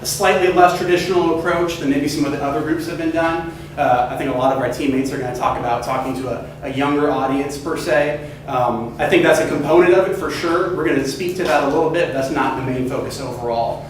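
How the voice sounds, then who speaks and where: A young man from California giving a casual, upbeat class presentation pitch, full of hedging fillers. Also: steady tone, fast talker, slight background noise. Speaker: student presenter. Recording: echoey room from far off.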